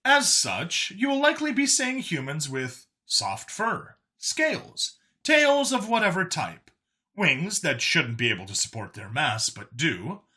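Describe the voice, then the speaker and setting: A man narrates scripted science fiction with a bright, forward voice that sits a little high. His pitch swings widely from phrase to phrase, while the delivery stays wry and expository, like an official briefing. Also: very animated, measured pace. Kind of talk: scripted dramatic story narration as an alien official giving a briefing.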